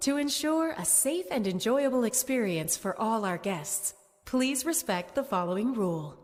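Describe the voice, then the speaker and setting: An actress playing a polite, eerie theme park announcer, reading public-address messages in a courteous, measured, faintly ominous way. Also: female voice, a little high, lively intonation, close to an everyday voice. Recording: echoey hall reverb over music.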